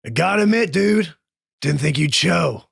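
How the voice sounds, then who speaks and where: A swaggering outlaw in a tabletop western, acted out by a man, who drawls scornful taunts. The voice sits a bit high and sounds close and dry, with no room around it. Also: expressive, normal speed, vocal fry, breathy edge, no echo, heavily acted. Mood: cocky, taunting. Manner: drawling taunts, says dude. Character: cocky, strutting outlaw gunslinger in a western.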